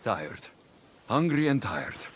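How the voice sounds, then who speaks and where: An actor playing a tired young Greek warrior and father, gentle and wry, with a Greek accent and a dry, understated delivery in a video game cutscene. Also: mid-range voice, sing-song, slow pace, a little hiss or hum. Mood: wry, friendly.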